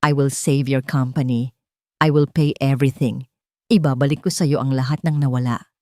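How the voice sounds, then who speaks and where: A woman voicing a wealthy, determined young man who stays steady and unshaken, in a storybook drama. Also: mid-range voice, moderate pace, no echo, studio quality, voice pushed down, lightly acted.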